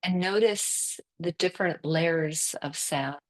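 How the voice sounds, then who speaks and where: A woman leads a guided relaxation as a yoga nidra teacher. Her voice sits slightly low and moves very slowly. She gives calm, soothing instructions in short phrases, leaving long pauses between them. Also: rough recording.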